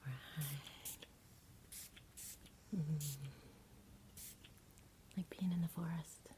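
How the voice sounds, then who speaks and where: Soft whispering woman, low and mellow. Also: steady tone, slight background noise. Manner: soothing, gentle, unhurried, as if to a child. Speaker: ASMR performer playing a caregiver at bedtime. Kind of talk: soft-spoken whispered ASMR bedtime roleplay.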